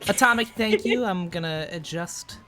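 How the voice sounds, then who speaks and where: A man streaming a game live, chatting casually, excitable and laughing often, loud when he gets excited. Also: sits high, moderate pace, soft background music. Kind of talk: casual livestream chat while gaming.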